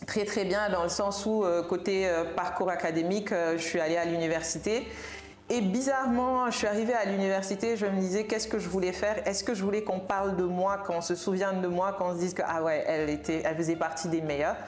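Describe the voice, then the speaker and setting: A woman's voice: on the low side, fairly even intonation, fairly quick. A woman telling her own story conversationally as a podcast guest, often repeating words for emphasis. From Senegal. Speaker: podcast guest, tech professional. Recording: roomy, reverberant room sound.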